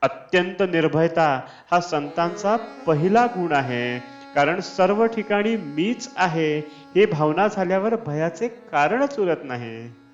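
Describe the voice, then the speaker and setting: A man reading a scripted spiritual discourse in a measured, deliberate manner. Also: on the high side, animated. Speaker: reader of devotional discourses. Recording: dull lo-fi, Indian classical music behind. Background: Indian classical instrumental music.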